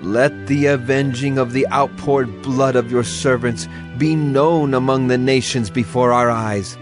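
A man's voice: mid-range voice, fairly even intonation, measured pace. A man giving a solemn, declamatory dramatized reading of scripture as an audio Bible narrator. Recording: music under the voice. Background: music.

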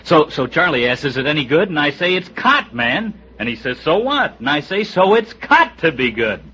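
Wisecracking american comedian, brash, voice jumps all over, muffled old radio. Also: male voice, on the high side, big pitch swings, moderate pace, pushed and bright, slightly rough, exaggerated voice. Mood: animated. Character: brash, wisecracking, resentful male TV comedy sidekick.

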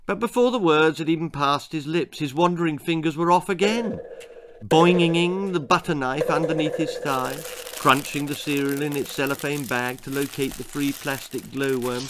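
A man's voice: a little high, moderate pace, noisy background. Theatrical older man reading a children's tale. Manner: theatrical, relishing lists of words with emphatic articulation. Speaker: author reading his own story. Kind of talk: dramatic comic-horror children's storytelling. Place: small indoor room lined with books and records.

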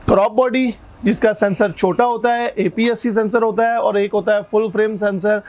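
A man in his thirties with a hoarse throat, explaining camera specs and prices one by one in a shop, recorded on a lapel mic. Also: sits high, moderate pace. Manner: steady explanatory, lists features one by one.

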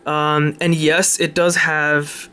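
Male tech presenter, slightly higher pitch, informal and halting unscripted demo. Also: level tone, sharp tone, clean tone. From Canada. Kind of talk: casual unscripted phone demonstration.

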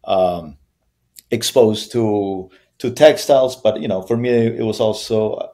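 Low mellow-voiced man from Colombia. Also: slow pace. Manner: thoughtful, many fillers and restarts. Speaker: guest, brand founder. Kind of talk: conversational interview answers. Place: small room with bare wall. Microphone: video call.

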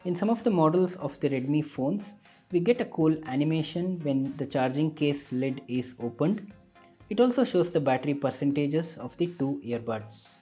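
A man narrates a tech product review in a mellow, slightly rough voice. His delivery is that of a scripted explainer. Faint music plays behind him. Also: medium pitch.

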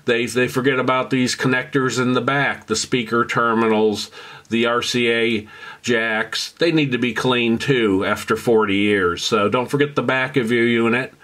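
A man's voice: mid-range voice, fairly even intonation, normal speed, fairly bright tone. A man presenting a casual how-to repair voice-over in a conversational, folksy, instructive way. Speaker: presenter of vintage audio repair videos.

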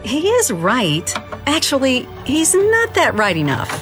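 An assertive woman scientist played by an actress speaks up in a comic-book history. Her voice is high and swings widely in pitch, with clear music behind. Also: wildly swooping pitch, a little slow, full character voice. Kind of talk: scripted comic-book dramatization.

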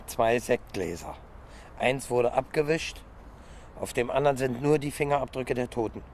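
An actor playing a precise, fact-loving police detective in a radio crime drama, with a matter-of-fact, pedantic manner. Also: male voice, mid-range voice, moderate pace, darkish tone, a little hiss or hum, close to an everyday voice. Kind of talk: naturalistic German radio crime drama acting.

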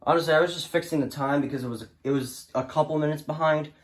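A man's voice: a little high, steady tone, fast. Young dude doing an off the cuff hobby review, kinda rambly, trails off a lot, filmed on phone in his room. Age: teenager or young adult student. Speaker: weather radio hobbyist reviewer. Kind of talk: casual off-the-cuff product review. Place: small room indoors. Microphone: handheld phone camera.

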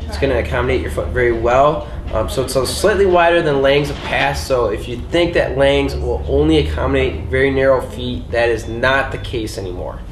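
A man in his forties, a gear buyer, describing a product in a brisk, informative way with frequent ums. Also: mid-range voice. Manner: brisk, informative, many fillers. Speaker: ski buyer. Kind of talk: product review. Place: ski boot shop, indoors. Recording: echoey shop room, faint hiss.

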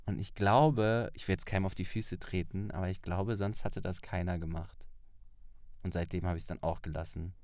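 A man with a low-pitched voice hosts a casual conversation. He talks in a loose, colloquial way. The recording is dry, without room sound. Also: fairly even intonation, moderate pace, a little mellow, no echo. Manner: informal, colloquial. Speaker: podcast host, interviewer. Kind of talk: casual chat.

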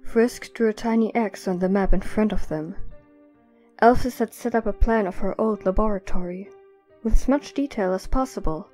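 A woman's voice: medium pitch, normal speed, mellow. A woman narrating a scripted story in the third person as the narrator of an audio drama. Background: faint music.

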